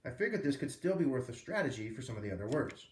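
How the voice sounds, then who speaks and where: A man reading a children's book aloud as a storyteller, with expressive, emphatic phrasing. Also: mid-range voice, fast talker. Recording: roomy echo, distant microphone.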